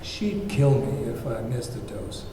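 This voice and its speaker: A man playing a smug, self-righteous hired kidnapper with a condescending, measured delivery in a script table read. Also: mid-range voice, sing-song. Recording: very echoey, distant, faint noise.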